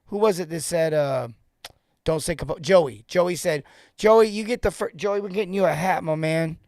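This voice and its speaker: A man in his forties hosting a casual live-stream coaching talk, joking and rambling off into asides with informal, animated banter. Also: fairly high pitch, moderate pace, no room sound, clean studio sound. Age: 40s. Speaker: host and sales coach for plumbers.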